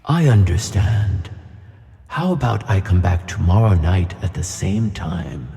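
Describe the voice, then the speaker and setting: A man's voice: low-pitched, very animated, measured pace, gravelly, dark tone, exaggerated voice. A man playing a kindly, reassuring magical tuna fish who flies, in a children's audio play. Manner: reassuring, tender. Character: gentle flying tuna fish, male, kindly magical friend. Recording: long reverb on the voice.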